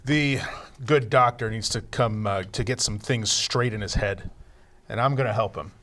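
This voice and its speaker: An actor playing a gruff, impatient police lieutenant, an anti-hero, at a live screenplay table read. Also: male voice, fairly low pitch, normal speed, a bit bright, close to an everyday voice. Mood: menacing.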